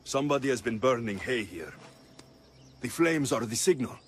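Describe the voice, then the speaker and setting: A man's voice: mid-range voice, animated, normal speed, a little hiss or hum, natural voice. An actor playing a pragmatic young male mercenary with a terse, dry delivery in a video game. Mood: dry, assured. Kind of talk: video game cutscene acting.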